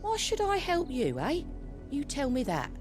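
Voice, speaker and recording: A woman playing a sharp-tongued, disdainful village woman in a video game, curt and dismissive. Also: high voice, wildly swooping pitch, a little slow, full character voice. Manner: haughty, dismissive. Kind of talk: video game cutscene acting. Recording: music under the voice.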